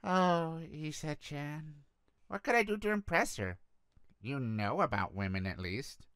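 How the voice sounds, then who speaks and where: A man voicing a kindly, cheerful, plump demon god of disease in a comic dub, gentle and earnest. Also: fairly high pitch, expressive, unhurried, clean tone, no echo.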